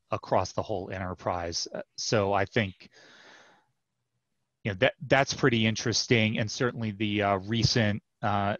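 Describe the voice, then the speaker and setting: Product guy in his thirties, video-call headset sound, thoughtful and halting. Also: fairly low pitch, fairly bright tone, no room sound. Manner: thoughtful, many fillers and restarts. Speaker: software product manager, guest. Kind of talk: casual interview answers. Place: home office with bookshelves. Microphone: headset mic on video call. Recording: dull dry headset audio.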